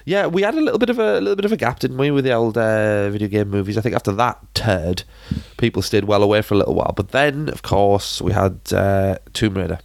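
A man's voice: fairly low pitch, expressive, fast talker, creaky, hardly any pauses, dry recording, clean studio sound. A man chatting casually about films as a podcast host. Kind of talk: casual film discussion chat.

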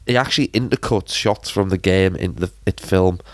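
British man, lowish pitch, creaky, very dry recording, casual podcast chat, opinionated, deadpan sarcasm. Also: fairly quick, no room sound. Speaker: podcast co-host.

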